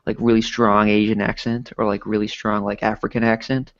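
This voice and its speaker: A man with a Newfoundland accent talks in a low voice, looping through filler words as he rambles. His call audio sounds dull and lo-fi. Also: level tone, fairly quick. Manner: rambling, many filler likes. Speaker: co-host. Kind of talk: casual podcast chat.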